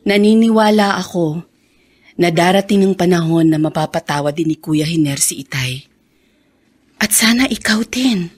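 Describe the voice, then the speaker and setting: Husky voiced aunt in radio drama, earnest, gently scolding. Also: female voice, lowish voice, a little slow, long pauses. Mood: gently admonishing. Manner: earnest, counselling. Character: gently admonishing, forgiving aunt. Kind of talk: Filipino radio drama acting.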